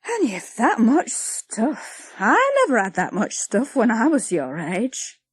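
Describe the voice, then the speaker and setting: Scolding village cook, high and lively; woman reader doing her. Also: breathy edge, no room sound, heavily acted. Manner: scolding, brisk, dismissive. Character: sharp-tongued middle-aged village baker woman.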